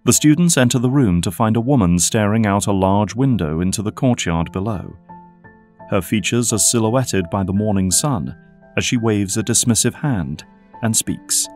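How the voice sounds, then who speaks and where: A man's voice: fairly low pitch, moderate pace, a little mellow, faint music behind. A man narrating a scripted audio drama as its storyteller.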